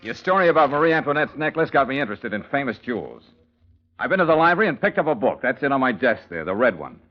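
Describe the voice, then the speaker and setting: An actor playing a brisk, quick-witted troubleshooter in a 1940s radio detective drama, assertive and wry, with an American accent. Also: male voice, mid-range voice, very animated. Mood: urgent, controlled. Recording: noisy, dull old radio recording.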